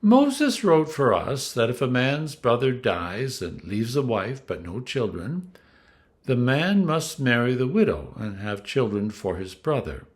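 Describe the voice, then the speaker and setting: A man's voice: mid-range voice, very animated, unhurried. A man voicing sly, falsely polite male questioners in a scripture reading, formal and pointed. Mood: testing.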